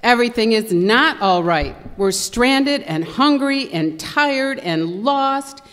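A woman's voice: fairly high pitch, lively intonation, takes it slowly, fairly bright tone. A woman playing an easily exasperated, theatrical stranded tourist in a radio skit. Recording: echoey hall.

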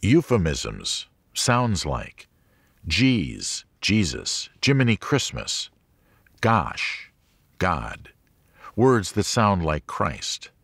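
A man reading a religious teaching audiobook as a narrator, in a measured, clearly enunciated manner. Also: low voice, sing-song, fairly bright tone, dry recording.

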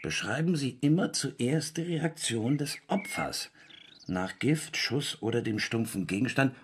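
An actor in a radio play playing a grumpy village constable who pompously dictates a crime novel, halting to correct himself. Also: male voice, medium pitch, animated, a little hiss or hum, close to an everyday voice. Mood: grumpy, dramatic.

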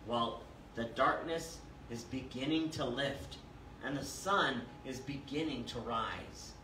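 Older man, pastor, expository sermon, measured and emphatic, mid-pitched, roomy church sound, faint background noise. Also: recorded from a distance. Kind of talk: sermon with scripture reading. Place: small church sanctuary, indoors. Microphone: far camera.

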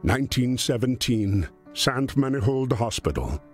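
A man performing as a solemn storyteller, narrating a wartime adventure game in a measured, grave, expressive way. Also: medium pitch. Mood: solemn storytelling. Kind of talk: scripted dramatic storytelling narration. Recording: music under the voice.

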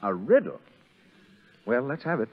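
A man announcing in a scripted 1930s radio style, delivering a sponsor's pitch. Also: sing-song, a little slow. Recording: muffled, narrow 1930s radio broadcast.

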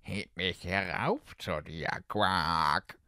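A man voicing an insistent, demanding enchanted frog from a fairy tale, croaky and punctuating sentences with quacks. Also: low-pitched, pushed and bright, dry recording, studio quality, heavily acted. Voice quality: gravelly, croaky, quacking interjections. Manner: slow, drawn-out, insistent.

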